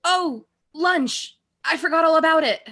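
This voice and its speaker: A woman's voice: extremely high pitch, a little slow, a bit bright, full character voice. A woman reading a sweet, polite young flower-shop worker in an amateur screenplay read. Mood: sweet, apologetic.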